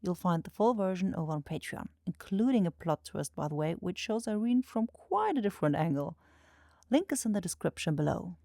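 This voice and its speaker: A woman's voice: on the low side, big pitch swings, fairly quick, vocal fry, warm tone, no echo, clean studio sound. A woman chatting casually to her listeners in a bashful, self-correcting way. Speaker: voice actor and channel creator. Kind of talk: casual outro chat to listeners.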